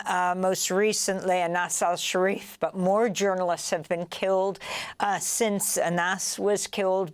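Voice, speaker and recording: A woman's voice: mid-range voice, smooth, dry recording. A woman hosting a news program, asking questions in a composed, precise way. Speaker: news show host, interviewer. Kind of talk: broadcast interview questions.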